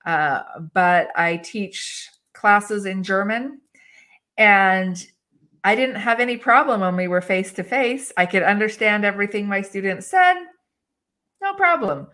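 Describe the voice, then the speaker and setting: A woman giving HR advice in a live webinar discussion, talking in an animated way, acting out quoted dialogue and laughing often. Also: medium pitch, measured pace. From English-speaking country. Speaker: HR expert guest.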